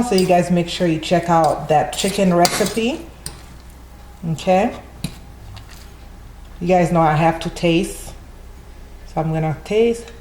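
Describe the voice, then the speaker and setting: A woman's voice: fairly low pitch, a little mellow, reverberant, a little hiss or hum. A woman hosting a home cooking channel, chatting casually to viewers as she walks through a recipe. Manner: friendly, chatty, addresses viewers as guys. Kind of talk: casual cooking tutorial voice-over. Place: home kitchen.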